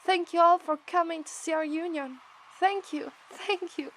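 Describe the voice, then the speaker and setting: A woman's voice: very high-pitched, steady tone, normal speed, fairly bright tone, a little hiss or hum. A woman with an Italian accent voicing an affectionate, cheerful young demon princess bride in an ASMR roleplay. She giggles often and gets flustered easily. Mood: gracious. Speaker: voice actress.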